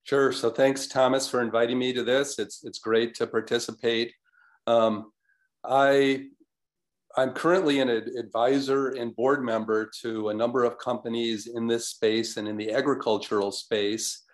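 Older man, measured guest expert. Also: mid-range voice, steady tone. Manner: measured, with frequent ums and restarts. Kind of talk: self-introduction on a webinar.

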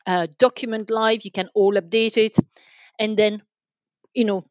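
A woman's voice: mid-range voice, steady tone, measured pace, no room sound. French-accented woman, dull dry recording. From France. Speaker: podcast guest, telecom sales manager. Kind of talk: conversational interview answers.

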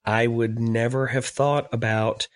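A man's voice: mid-range voice, little pitch movement, measured pace, dry recording. A man hosting an interview podcast, asking questions in an upbeat, enthusiastic way. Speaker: podcast host.

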